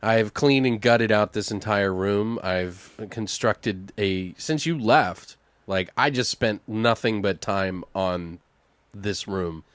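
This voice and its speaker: A man in his thirties co-hosting a podcast in casual, slangy, animated chat with frequent swearing. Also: fairly low pitch, slow, clear, resonant tone, no echo. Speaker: podcast co-host. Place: home studio room.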